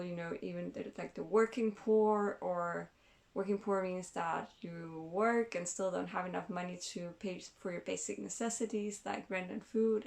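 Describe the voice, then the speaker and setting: A woman from Denmark talks slowly and thoughtfully in a casual vlog, often pausing to search for words. Her voice sits a little low and has a gravelly, creaky edge. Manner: thoughtful, hesitant, many fillers and restarts. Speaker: channel host.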